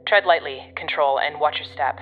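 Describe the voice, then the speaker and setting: An actress playing a gruff, exasperated but protective woman guiding a colleague over the radio in an audio drama, bossy and sardonic. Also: low voice, normal speed, narrow, tinny audio, slight background noise. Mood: wry, instructing.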